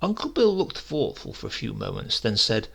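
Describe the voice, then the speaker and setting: Gravelly raspy guy reading a short story out loud, theatrical, pretty animated pitch. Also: normal speed. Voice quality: gravelly, husky. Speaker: story narrator. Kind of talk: dramatic scripted narration of a humorous short story.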